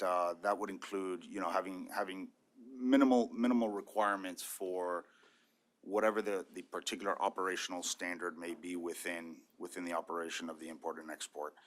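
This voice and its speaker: A man presenting a subcommittee report at a meeting, halting, with many fillers and repeated words. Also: low voice, fairly bright tone.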